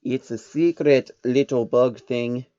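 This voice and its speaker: A man's voice: mid-range voice, fairly even intonation, slow pace, mellow, smooth, no echo, lo-fi sound, natural voice. Man doing a sly, amused secret-society baron. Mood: matter-of-fact.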